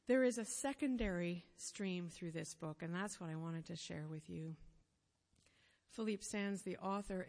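A woman giving a sermon, telling a story in an earnest, measured way, pausing phrase by phrase. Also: on the low side, creaky, a little mellow. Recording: long reverb of a hall.

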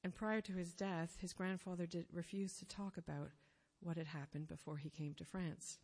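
A woman giving a sermon, telling a story in an earnest, measured way, pausing phrase by phrase. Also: on the low side, steady tone, darkish tone, breathy edge. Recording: long reverb of a hall.

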